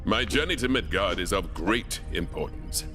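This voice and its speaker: An actor playing a stalwart guardian of a realm of gods in a cartoon, grave and formal. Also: male voice, low voice, animated, normal speed. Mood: solemn, earnest. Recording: music behind the voice.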